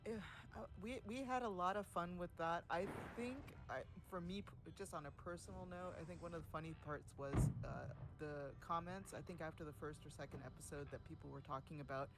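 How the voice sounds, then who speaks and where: Chatty, laughing man with a higher voice. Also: fairly quick, hardly any pauses, music in the background, poor audio. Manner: chatty, laughs often, many fillers; says he has a louder voice than most. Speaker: community event host. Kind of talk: casual livestream chat.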